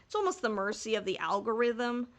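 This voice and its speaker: A woman's voice: a little high, very animated, fairly quick. A woman co-hosting a podcast in casual chat, candid and slangy in the way she talks. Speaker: podcast co-host.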